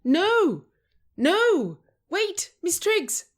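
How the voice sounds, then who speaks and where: A woman's voice: very high-pitched, sing-song, extremely slow, voice pushed up, full character voice. A woman voicing a flustered, stammering man who pleads urgently, in a scripted screenplay reading. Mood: desperate pleading. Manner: hesitant, stuttering, urgent. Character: flustered, stammering male reality show contestant, pleading.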